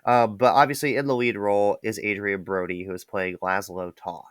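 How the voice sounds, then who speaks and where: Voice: sits low, fairly even intonation, a little fast, no room sound, clean studio sound. A podcast co-host in casual film review chat, giving facts steadily and moving the show along.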